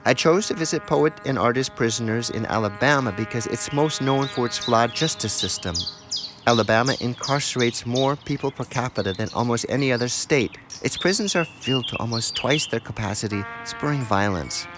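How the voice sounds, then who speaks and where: An American man narrates a radio documentary in the first person, in a mid-pitched voice. His scripted narration sits over clearly audible noise, with birds and vehicles around. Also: fairly quick. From United States. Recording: clear outdoor and car background noise.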